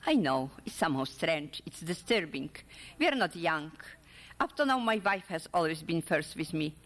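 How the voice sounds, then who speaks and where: A woman's voice: low-pitched, sing-song, normal speed, a bit bright. A woman voicing an earnest, hesitant older man in love, in a literary story reading. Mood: anxious, confessing. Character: silver-haired widowed older man, earnest, hesitant suitor. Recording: echoey hall with long reverb.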